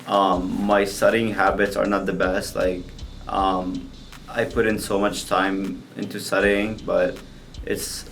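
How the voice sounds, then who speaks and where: A man's voice: lowish voice, gravelly, fairly bright tone, a little hiss or hum. A nineteen-year-old man, a student, answering earnestly and hesitantly with many ums. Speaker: student interviewee. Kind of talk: casual street interview.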